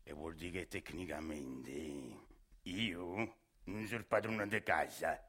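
A man's voice: low voice, takes it slowly, a bit bright, voice pushed down, heavily acted. An actor playing a shady, crude male landlord with a Roman accent in a radio play, talking in a coarse, sly and menacing way. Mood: sly swaggering. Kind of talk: radio theatre acting.

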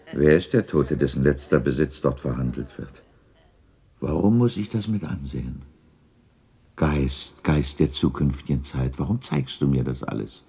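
An actor playing a gruff old miser full of anguish and repentance, husky and emphatic, in a 1960s German radio play. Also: male voice, a bass voice, a little mellow, exaggerated voice. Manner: dramatic, emphatic.

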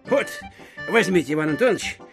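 A man's voice: fairly high pitch, expressive, brisk, music in the background. An actor playing a genial, obliging, fussy provincial court chairman in an audio drama. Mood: obliging, bustling. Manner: affable, eager, exclamatory. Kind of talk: theatrical audio drama acting.

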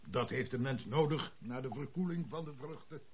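An actor playing a jovial, hard-drinking army captain with a mock-formal manner in a radio drama. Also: male voice, mid-range voice, moderate pace, natural voice. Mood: convivial, mock-formal. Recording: tinny old radio recording, faint noise.

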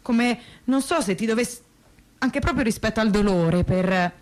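An actress playing a reflective granddaughter of Holocaust survivors in a staged testimony reading, halting and conversational as she searches for words. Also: on the high side, lightly acted. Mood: frustrated, pained. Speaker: reader in a staged radio reading. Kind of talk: dramatic reading of personal testimony with music.

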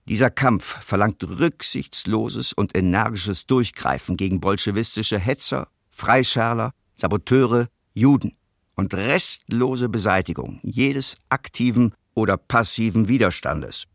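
A man's voice: lowish voice, measured pace, gravelly, dry recording, clean studio sound. A man formally and sternly reading official documents in a scripted documentary. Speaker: reader of historical documents.

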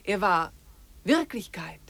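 A woman's voice: mid-range voice, sing-song, slow pace, creaky, a bit bright, heavily acted. An actress playing a practical, resolute woman hiker in a radio drama, plain-spoken and earnest. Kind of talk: 1950s German radio drama acting.

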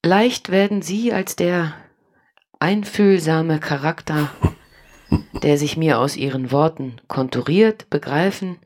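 An actress playing a solemn, remorseful woman reading a formal letter in a radio drama, measured and deliberate, with a husky, creaky voice. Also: low voice, a little mellow.

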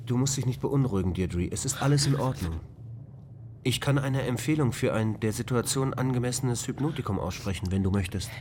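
A man as a calm, formal ship computer. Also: mid-range voice, slight background noise, lightly acted. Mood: calm concern. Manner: formal, measured, reassuring. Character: calm, formal male spaceship computer, politely solicitous. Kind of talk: radio play acting.

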